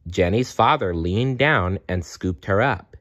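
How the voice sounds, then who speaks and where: A man's voice: deep voice, lively intonation, a little slow, a bit bright. A man reading a children's storybook aloud as narrator, on a dull, lo-fi recording. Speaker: storybook reader.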